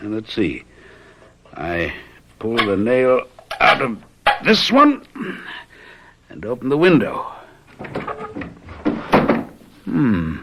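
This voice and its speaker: An actor playing a shrewd, patronizing gentleman detective in a radio mystery drama, courtly and self-assured, with a pompous, teasing, musing manner. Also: lowish voice, wildly swooping pitch, slow pace, long pauses, very noisy, full character voice.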